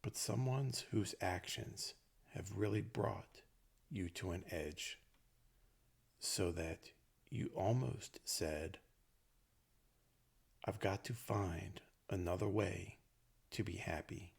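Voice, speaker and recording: Very slow, deep, gravelly man guiding meditation. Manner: gentle, unhurried phrases with long pauses. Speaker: meditation teacher. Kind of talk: guided meditation.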